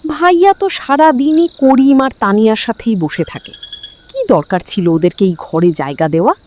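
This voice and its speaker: A woman's voice: a little high, lively intonation, moderate pace, darkish tone, slight background noise, natural voice. A woman playing a greedy, nagging, scheming wife in a cartoon, complaining and conspiratorial. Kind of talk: cartoon dialogue.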